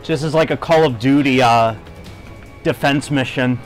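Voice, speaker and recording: A man's voice: medium pitch, normal speed. A man giving casual, light commentary as he plays a video game, muttering offhand reactions and mild exclamations. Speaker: gamer commenting on his playthrough. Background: video game music.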